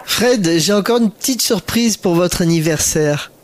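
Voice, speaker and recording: A man hosting a radio interview, asking brisk, friendly questions. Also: sits high, expressive. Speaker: radio host.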